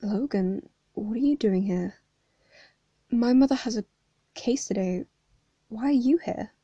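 A woman voicing a calm, sensible teenage boy. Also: a little high, measured pace, no room sound, highs cut off. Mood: concerned. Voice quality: hoarse.

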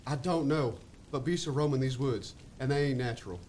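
Male actor as frontier rescuer, mid-pitched, folksy and commanding, for an audio drama. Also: brisk, darkish tone, a little hiss or hum, close to an everyday voice. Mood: urgent, commanding. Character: gruff, urgent Wild West rescuer, male survivor. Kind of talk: amateur audio drama acting.

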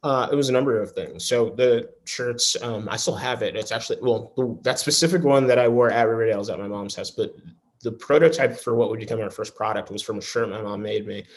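Fast-talking New man, low voice. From New York. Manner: earnest, rambling with many restarts. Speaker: interviewee, school alumnus. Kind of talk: casual conversational interview.

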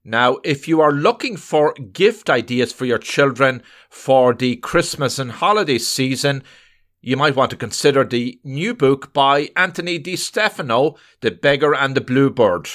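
A man hosting a podcast, reading a scripted introduction in a measured way. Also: mid-range voice, lively intonation, a bit bright. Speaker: podcast host.

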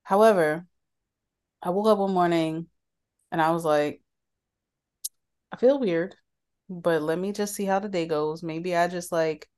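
Woman co-host with a cold, animated and quick to laugh, casual banter. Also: lowish voice. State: has a cold. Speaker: podcast co-host. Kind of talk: casual chat.

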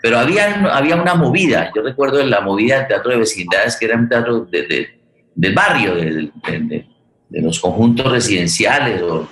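A man's voice: mid-range voice, lively intonation, a little fast, a bit bright, echoey room, low-quality recording. A man with a Colombian accent hosting a conversational interview, repeating words as he searches for the next one. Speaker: host.

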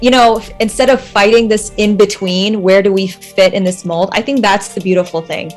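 Woman dentist chatting, earnest n reflective, mid voice, soft music behind. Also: medium pitch, steady tone, moderate pace. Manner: earnest, reflective, laughs between points. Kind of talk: casual group discussion.

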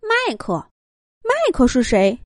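A bewildered little bunny boy, voiced by a woman in a children's storybook, his questions rising high and bouncing in pitch. The voice sounds dry and close, with soft music underneath. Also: huge pitch swings, takes it slowly, no room sound, heavily acted. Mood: puzzled. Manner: wondering, hesitant questions. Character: little boy rabbit, timid and puzzled.

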